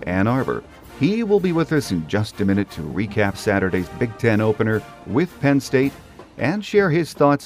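A man's voice: on the low side, darkish tone. An American man hosting a sports podcast, reading a scripted monologue.

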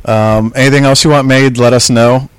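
A man's voice: medium pitch, steady tone, normal speed, smooth, dry recording. A man co-hosting a casual podcast, teasing his friend and joking through mock-announcer intros into a studio mic. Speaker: podcast co-host. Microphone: podcast mic through a mixer board.

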